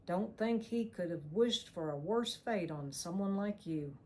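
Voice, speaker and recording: A woman's voice: medium pitch, lively intonation, unhurried, a little hiss or hum, lightly acted. A woman narrator voicing a cruel male hired killer who mocks and threatens in crude slang. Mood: mocking. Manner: mocking, crude backwoods slang, shouting threats.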